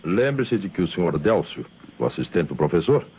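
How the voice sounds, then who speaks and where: A Brazilian actor playing a steady, dutiful police commissioner in a vintage radio mystery, speaking formally to his inspector. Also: deep voice, brisk. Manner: formal, reassuring, deferential. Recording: noisy, muffled old radio recording.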